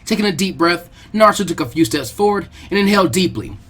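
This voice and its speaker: A man's voice: high-pitched, quick pace, a bit bright. A man reading fan fiction aloud as a dramatic scripted narration.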